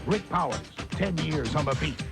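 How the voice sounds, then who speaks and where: Gravelly male sports promo announcer, loud music. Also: on the high side, expressive, normal speed. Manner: emphatic, clipped phrasing. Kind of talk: scripted punchy promo.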